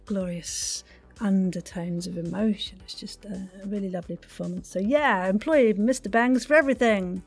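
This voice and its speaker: A woman's voice: medium pitch, normal speed, breathy edge. A British woman hosting a podcast interview, chatting in a playful, effusive way with quirky turns of phrase. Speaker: podcast host. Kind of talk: lively interview chat.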